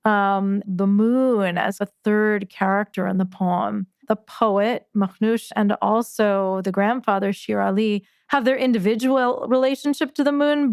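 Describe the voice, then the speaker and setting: A woman hosting a podcast, talking through poems in a slow, thoughtful, gentle way. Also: mid-range voice, clean tone, no room sound, clean studio sound. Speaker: podcast host. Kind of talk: reflective conversation about poetry.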